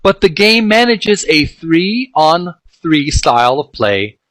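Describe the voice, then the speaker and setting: Man with halting delivery, dry close recording. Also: a little high, very animated, a bit bright, no room sound. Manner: halting, piecemeal phrasing with repeated words. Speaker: video game channel host. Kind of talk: informal read-aloud and commentary on retro game art.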